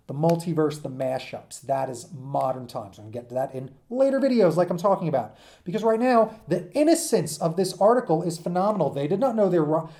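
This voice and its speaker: Mellow man giving an informal, emphatic lecture. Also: on the high side. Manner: conversational, checks in with "right?" and "okay?". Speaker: teacher presenting a comics history lesson. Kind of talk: informal unscripted video lecture.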